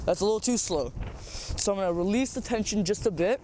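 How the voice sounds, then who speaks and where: A man's voice: sits high, fairly quick, mellow, slight background noise, low-quality recording. A young man with a stuffy nose from a cold, rambling through a casual fishing how-to with lots of "um"s and repeats. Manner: rambling, repeats words, says um often. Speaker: fishing tutorial host. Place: outdoors by a small farm pond.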